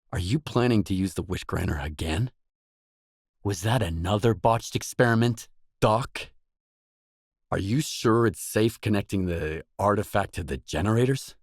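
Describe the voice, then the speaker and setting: An actor playing a wary, world-weary stalker, the blunt protagonist of a video game, in terse acted dialogue. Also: male voice, fairly low pitch, darkish tone, no room sound, clean studio sound. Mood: tense, defiant.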